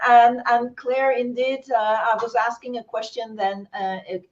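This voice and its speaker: A woman from Norway moderating an online webinar, speaking with many fillers and restarts over a video call. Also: medium pitch. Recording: dull lo-fi call audio.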